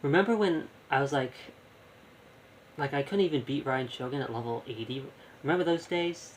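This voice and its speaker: A man's voice: medium pitch, a little fast, slight background noise, rough recording. A young man giving casual live gameplay commentary, rambling and thinking aloud. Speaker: gaming streamer. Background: game music.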